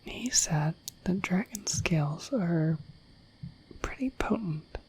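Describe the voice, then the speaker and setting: A woman voicing a shy, friendly dragon in a breathy whispered ASMR roleplay, rambling gently and hesitantly to the listener. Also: lowish voice, slow pace, heavily acted, low-quality recording. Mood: musing, gentle. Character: shy, friendly, dorky dragon in humanoid form.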